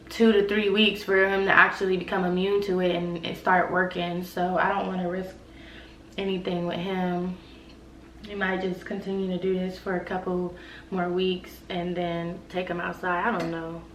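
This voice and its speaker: A woman's voice: lowish voice, little pitch movement, moderate pace, a bit bright, slight background noise. Sick, stuffy young woman rambling in an echoey room. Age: young adult. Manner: rambling, filler-heavy, frequent "like" and "y'all". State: stuffy nose, sick with a cold-like illness. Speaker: vlogger. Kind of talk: casual chat to camera. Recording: echoey room, voice a bit far from mic.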